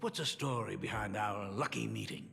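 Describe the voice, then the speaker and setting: An actor playing a doting, joking older father in a video game, with a gravelly, lively voice. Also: on the low side, moderate pace, long reverb tail, music in the background. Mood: warm.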